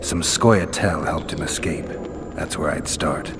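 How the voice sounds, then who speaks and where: An actor playing a jaded captive monster hunter in a fantasy video game, terse, sardonic and deadpan. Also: male voice, a bass voice, unhurried, heavy background noise. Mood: terse, measured.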